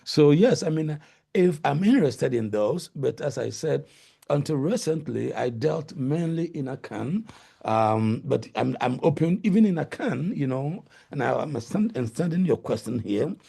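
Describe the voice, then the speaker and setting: Gravelly-voiced Ghanaian man. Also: on the high side, animated, normal speed, dark tone. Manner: hesitant, many fillers and restarts. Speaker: guest lecturer. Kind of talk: answering questions in a webinar. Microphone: video call.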